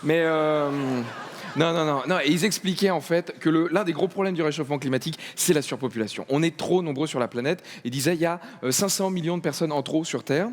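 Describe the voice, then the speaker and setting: A man hosting a comedy gala, rambling through jokes with many fillers before introducing the next act. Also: on the high side. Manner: rambling, many fillers and asides, quick riffs. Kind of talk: stand-up host patter. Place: large festival gala hall on stage.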